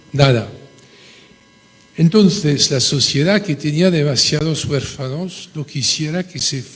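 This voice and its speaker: A man from France with a French accent, haltingly asking a question from the floor as he searches for words. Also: mid-range voice. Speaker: audience member asking a question. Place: large conference hall. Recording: reverberant hall.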